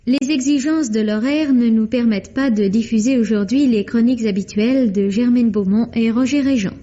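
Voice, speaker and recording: A woman's voice: on the high side, moderate pace, warm tone, soft background music. A woman announcing on mid-century French radio, reading formal scripted credits with composed enunciation. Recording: muffled vintage radio broadcast.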